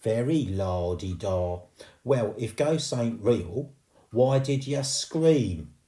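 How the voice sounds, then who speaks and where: A man voicing a rough, cocky boy ghost with a Cockney accent, teasing and slangy. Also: mid-range voice, animated, takes it slowly, mellow, voice pushed up. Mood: smug.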